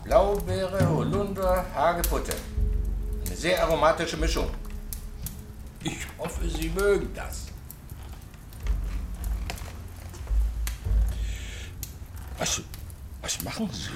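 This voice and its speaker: An actor in a radio crime drama playing an old East German scientist, sardonic and guarded, with a pedantic, wry manner. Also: male voice, on the high side, unhurried, pauses often, music in the background. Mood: courteous, wry. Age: older. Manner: pedantic, wry, animated with hushed asides.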